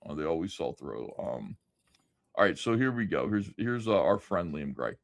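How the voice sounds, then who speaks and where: A man hosts a casual livestream and reads out viewer comments between loose, profane asides packed with fillers. His pitch roams widely from line to line. The sound is dry and close, with no room behind it. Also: big pitch swings, normal speed, creaky, no room sound. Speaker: livestream host.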